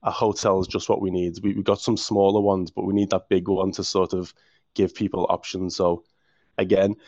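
Enthusiastic Liverpool-accented man, council official; low, level voice, muffled dry audio. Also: level tone, moderate pace, a little mellow, no echo. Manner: enthusiastic, restarts words often. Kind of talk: interview answers.

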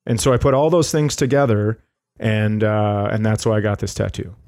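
A man's voice: on the low side, moderate pace, a little mellow. A middle-aged man, a comedy podcast co-host, telling stories casually in a rambling, jokey way. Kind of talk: casual comic storytelling.

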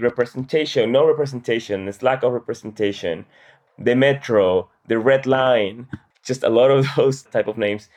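A man from Peru speaks as a guest in a casual interview. His voice is on the low side, and he explains things in a conversational way. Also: a little mellow. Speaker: guest, comedian and satire writer.

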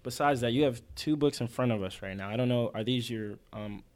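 A man's voice: mid-range voice, fairly quick, darkish tone. A man chatting casually as an interviewer, in a laid-back, affirming manner.

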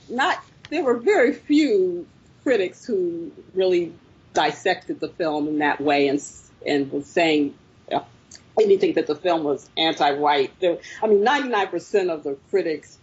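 A woman's voice: fairly low pitch, animated, unhurried, breathy edge, dull audio. A woman filmmaker answering interview questions, telling her story reflectively with frequent fillers. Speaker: filmmaker guest.

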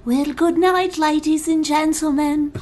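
A woman putting on a theatrical, fluttery posh voice with a high, sing-song delivery. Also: steady tone, a little slow, darkish tone, a little hiss or hum. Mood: hammy farewell.

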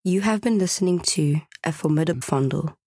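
A man with a husky, creaky voice reading a scripted audiobook promo as announcer. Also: a little high, fairly quick, darkish tone, dry recording, clean studio sound.

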